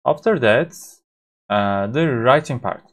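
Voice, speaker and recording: Turkish-accented man explaining a software demo. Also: mid-range voice, animated. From Turkey. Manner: halting, frequent fillers and restarts. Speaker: course instructor.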